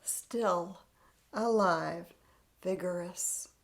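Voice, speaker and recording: Gentle woman guiding meditation over a video call; very slow, soft, unhurried. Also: on the low side. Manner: soft-spoken, often half-whispered; gentle, unhurried, laughs lightly. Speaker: meditation leader. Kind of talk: guided spiritual practice.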